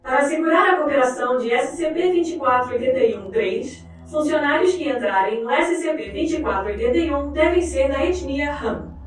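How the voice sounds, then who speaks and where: A woman narrating a formal scripted document in a measured way. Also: high voice, expressive. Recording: very echoey, distant, faint music behind.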